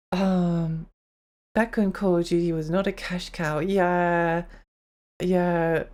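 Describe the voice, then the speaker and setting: A woman's voice: on the low side, a little mellow. A young woman streamer chatting casually on a live stream, her voice tired and strained, rambling and giggling as she talks.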